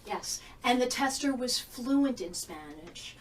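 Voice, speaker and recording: A woman's voice: fairly high pitch, animated, slow pace. An actress playing a mother in a training video, politely asking a principal about her son's testing, recorded from a distance with faint room noise. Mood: earnest. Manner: polite, earnest questions. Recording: distant mic with faint ambience.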